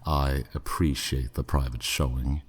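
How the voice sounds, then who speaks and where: A man's voice: rumbling low, steady tone, unhurried, breathy edge, full character voice. A man playing a haughty, flirty, skeptical demon buyer in a scripted ASMR audio roleplay, with a sardonic, dismissive drawl. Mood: grudging interest.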